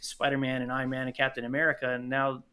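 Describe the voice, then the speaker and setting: A man in his thirties with a bright, forward voice at a middling pitch. He speaks conversationally, as a guest would on a relaxed podcast. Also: fast talker, clean tone. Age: 30s. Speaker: podcast guest, audio drama producer. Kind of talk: casual chat.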